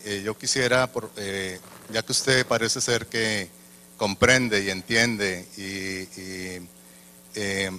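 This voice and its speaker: A man's voice: lowish voice, pushed and bright. A man in the audience of a conference hall, asking a hesitant question into a handheld mic. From Colombia. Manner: hesitant, searching for words. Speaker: audience member.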